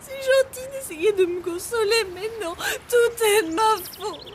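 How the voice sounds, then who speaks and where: A woman's voice: extremely high pitch, animated, measured pace. A woman voicing a tearful, self-blaming schoolteacher in a French cartoon, crying as she talks. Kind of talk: French cartoon dub acting.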